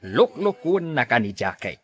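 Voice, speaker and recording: Man acting blunt older father, raspy, lively intonation, Ilocano radio drama, dry recording. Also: medium pitch, normal speed. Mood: disapproving.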